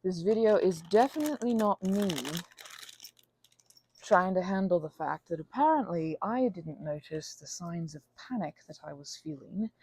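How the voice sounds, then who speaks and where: A woman with a mellow, creaky voice rambles casually and anxiously to her audience. She stops and starts, wanders into tangents and adds playful, theatrical flourishes. The recording is close and dry. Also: on the low side, dry recording. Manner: halting, tangent-prone. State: anxious, panicky; unwell. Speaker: ASMR channel host.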